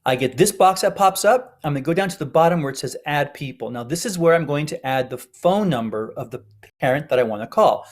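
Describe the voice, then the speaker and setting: A man's voice: on the high side, lively intonation, brisk. A man giving an informal, step-by-step tutorial narration as an instructor. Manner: explanatory, step-by-step.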